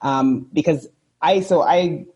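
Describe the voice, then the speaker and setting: A woman in her thirties from Barbados, a podcast guest talking in a thoughtful, unhurried way. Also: sits low, dark tone, husky. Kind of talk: casual conversation.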